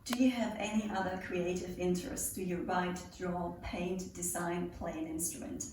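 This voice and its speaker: A chatty young woman in her late twenties answers viewer questions in an upbeat, joking way. Her voice sits slightly low, and she is picked up from a distance in a strongly reverberant room. Faint hiss runs underneath. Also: steady tone, normal speed, a little mellow. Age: 20s. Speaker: pole dance instructor. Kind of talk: casual Q&A vlog.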